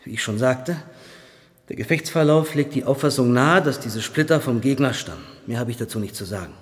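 Actor as a sardonic army colonel, long reverb. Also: male voice, medium pitch, brisk, lightly acted. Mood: bitter, heated. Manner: sardonic, clipped official phrasing. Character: defensive, cynical army colonel, stern and sardonic. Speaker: actor in a radio drama. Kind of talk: German radio drama dialogue.

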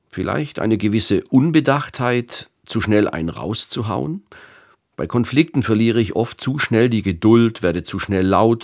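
A male radio host reading a reflective, self-critical introduction in a studio. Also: low-pitched. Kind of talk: scripted radio presentation.

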